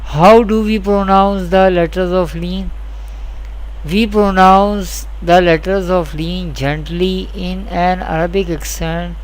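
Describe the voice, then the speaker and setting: Very slow, deliberate tutorial voice. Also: mid-range voice, low-quality recording. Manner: deliberate, repetitive, question-and-answer explaining. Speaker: Quran tajweed teacher. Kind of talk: scripted lesson with spelled-out examples.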